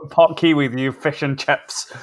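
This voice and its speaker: A man's voice: fairly high pitch, steady tone, a little slow. A man with an English accent co-hosting a cricket podcast, upbeat and friendly, often calling his co-host "mate". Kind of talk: casual cricket chat.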